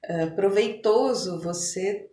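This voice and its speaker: Slow-paced older São Paulo woman, echoey room. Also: mid-range voice, over a noisy crowd. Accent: São Paulo Brazilian. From São Paulo, Brazil. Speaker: podcast guest. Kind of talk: conversational interview, personal reminiscing. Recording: echoey room with faint noise.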